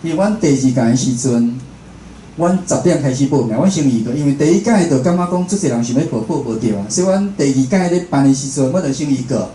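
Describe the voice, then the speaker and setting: A man's voice: medium pitch, lively intonation, fast talker, dark tone. A man with a Taiwanese accent, a radio host, giving an informal, conversational public talk and often checking in with his listeners. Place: indoor room at a public talk. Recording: roomy talk venue with faint noise.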